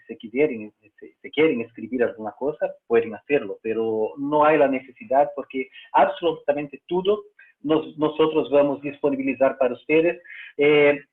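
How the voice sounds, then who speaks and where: A man whose first language is Portuguese, with a Brazilian Portuguese accent, presenting a live webinar in halting second-language speech with many fillers and restarts. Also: mid-range voice. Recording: tinny phone-like line.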